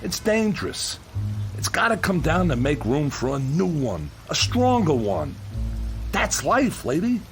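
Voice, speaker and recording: An actor playing a gruff but kind-hearted demolition contractor in a radio drama, with an American accent and colloquial, earnest, insistent working-man speech. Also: fairly high pitch, very animated, a little slow, faint music behind. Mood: pleading, reasoning.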